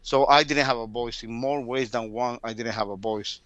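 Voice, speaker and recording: Guatemalan-accented man, bright tone, mild stutter, dry close sound; a storyteller talking to an interviewer from afar. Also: mid-range voice, fairly even intonation, moderate pace, no room sound, lo-fi sound. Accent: Guatemalan Spanish. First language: Spanish. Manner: mild stutter, repeats words. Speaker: guest storyteller. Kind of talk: casual interview talk. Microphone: remote call.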